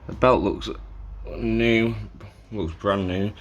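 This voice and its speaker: A man with a low-pitched voice narrates a hands-on repair job in a casual way. He thinks aloud as he works, often halting mid-sentence to find the next word, like an informal host showing each step. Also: fairly even intonation, lo-fi sound, background noise. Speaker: host of a tape deck repair video.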